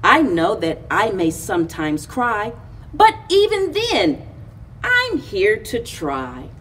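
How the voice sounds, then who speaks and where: Female storyteller, middle-aged, husky, very lively intonation, expressive read-aloud for kids, picked up by a distant camera mic. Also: mid-range voice, a little hiss or hum. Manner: deliberate, animated phrasing with pauses between short lines. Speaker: library story time reader. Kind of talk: expressive picture-book read-aloud for children. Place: indoors, in front of a library chalkboard wall.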